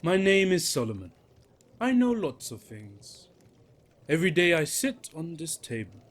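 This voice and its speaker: A man's voice: a little high, big pitch swings. Cryptic tavern watcher, as a man plays him. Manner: cryptic, slow and measured, asks leading questions.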